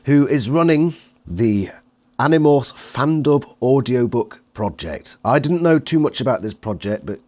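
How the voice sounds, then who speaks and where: A man's voice: mid-range voice, animated, measured pace, darkish tone. A man with a British accent, interviewing a guest in a casual, chatty style. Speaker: interviewer, channel host.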